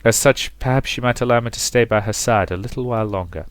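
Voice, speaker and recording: Gallant older gentleman, played by a man, courtly. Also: medium pitch, quick pace, no room sound, natural voice. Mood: earnestly courtly. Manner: formal, mock-indignant.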